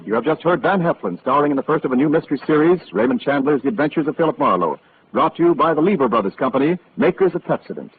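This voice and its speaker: A man's voice: a little high, expressive, fast. A man announcing the closing credits in a formal, polished 1940s radio style. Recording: tinny old radio broadcast with loud music.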